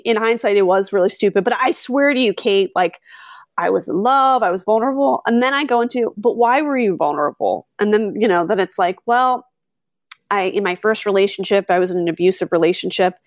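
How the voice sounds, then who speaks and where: A middle-aged woman, a podcast host, telling stories in a chatty, animated way with lots of fillers. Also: mid-range voice, no room sound, clean studio sound. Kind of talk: conversational interview.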